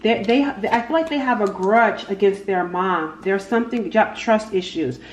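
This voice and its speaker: A woman giving a casual, unscripted tarot reading, speaking haltingly and repeating her phrases as she goes. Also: medium pitch. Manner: halting, repeats phrases, talks to spirit and cards. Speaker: tarot reader, channel host. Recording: echoey room with faint background noise.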